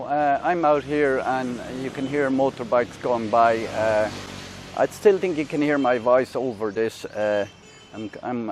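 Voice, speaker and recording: A man with an Irish accent, hosting a casual product review on a lavalier mic, talking in a halting way with many fillers and restarts. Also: medium pitch, slight background noise. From Ireland. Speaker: tech reviewer, channel host. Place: indoors in a room with a fan, then outdoors by a busy road.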